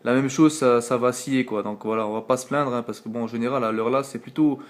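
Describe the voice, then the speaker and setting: A man's voice: medium pitch, fairly even intonation, fast talker. French man chatting on CB radio. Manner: rambling, many fillers and sign-offs. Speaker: CB radio operator. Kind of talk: casual radio contact chat. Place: at home near his radio set. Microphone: radio operator's microphone, filmed on phone.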